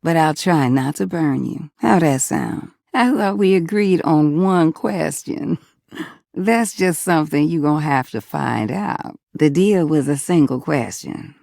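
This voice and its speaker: A woman's voice: deep voice, animated, a little slow, vocal fry, dark tone, no echo, studio quality, heavily acted. A woman voicing a sassy, flirtatious household object in a dating game, with an American accent and a folksy, teasing manner full of pet names. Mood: flirty, teasing, then sassy, guarded. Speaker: voice actor in a dating simulator game. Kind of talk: flirty character dialogue, game acting.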